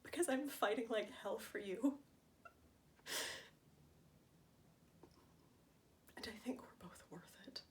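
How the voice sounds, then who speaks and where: A woman's voice: mid-range voice, normal speed, a little hiss or hum, natural voice. A woman acting a dramatic stage monologue as a wounded, defiant wife pleading fiercely with her husband, emphatic and emotionally raw. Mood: bitter, resolute.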